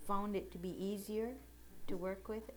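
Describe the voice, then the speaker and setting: A woman of thirty-nine answering casual interview questions in a plain, halting way, keeping her answers brief. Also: medium pitch, level tone, a crowd in the background. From Oneida. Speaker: interviewee, basket maker.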